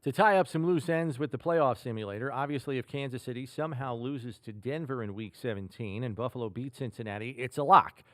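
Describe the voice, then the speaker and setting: A man's voice: medium pitch, a little fast, few pauses, no echo. A man with an American accent hosting a sports podcast, asking questions and laying out analysis.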